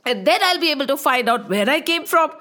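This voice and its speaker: A woman voicing a bewildered newborn male god who asks rushed, frantic questions. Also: high-pitched, expressive, bright, forceful tone. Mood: wondering. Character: newborn god, bewildered, panicky adult man.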